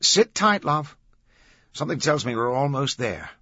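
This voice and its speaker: A man's voice: medium pitch, expressive, moderate pace, sharp tone, no echo, muffled recording, pitched above the performer's own voice. A man voicing a brash, cocky male news reporter with a sardonic, breezy manner in an audiobook thriller.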